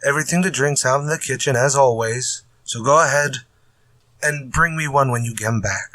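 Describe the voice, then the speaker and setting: Man playing stumped mystery writer, lowish-to-middle voice, exasperated, fretful, old-time radio drama, dry recording. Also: moderate pace, pushed and bright, dry recording, natural voice. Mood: testy. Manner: fretful, muttering to himself when stuck. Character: exasperated male mystery writer stuck on his story. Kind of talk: retro radio drama acting.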